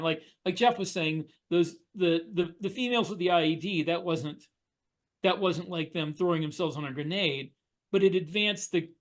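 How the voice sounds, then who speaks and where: A man co-hosting a podcast, deliberating earnestly in casual conversation. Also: on the high side. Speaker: podcast co-host. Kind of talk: casual panel discussion.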